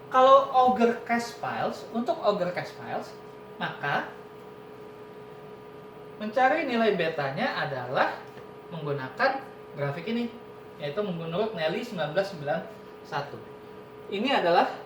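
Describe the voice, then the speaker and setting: A man giving an online university lecture, explaining step by step and often saying "nah" and "ini". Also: a bit bright. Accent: Indonesian. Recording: echoey room with noise and rumble.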